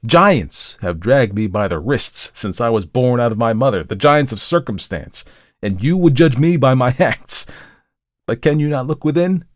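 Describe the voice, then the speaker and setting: A male narrator plays a guilt-ridden murderer from a literary storybook. The voice leaps high and loud above an ordinary reading tone, impassioned and theatrical, protesting and pleading in desperate questions. The sound is dry and close. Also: dry recording, lightly acted.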